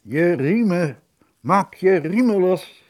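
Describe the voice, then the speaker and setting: A man voicing urgent telegraph messages that pass between a brain and its body parts, called out loudly word by word. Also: high-pitched, expressive, pitched above the performer's own voice. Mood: commanding, urgent. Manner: slow, word-by-word, loud calling.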